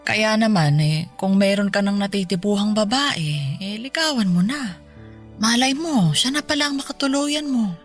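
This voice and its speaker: A woman playing an affectionate, ailing elderly mother in a radio drama, speaking in a colloquial, motherly, gently nagging way. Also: medium pitch, lively intonation, moderate pace, soft background music, lightly acted. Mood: fond, teasing. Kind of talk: Tagalog radio drama acting.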